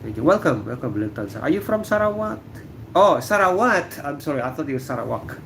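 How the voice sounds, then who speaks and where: A middle-aged man from Indonesia hosting a relaxed livestream, answering viewer comments with lots of fillers and pauses. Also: on the high side, slight background noise. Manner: relaxed, halting, many fillers. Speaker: livestream host. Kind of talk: casual livestream chat reading viewer comments. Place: room indoors.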